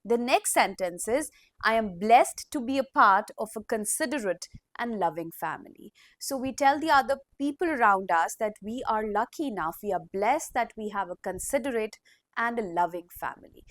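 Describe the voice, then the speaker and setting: A woman teacher, slowly dictating model sentences in a classroom language lesson. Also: a little high, lively intonation, fairly bright tone, dry recording.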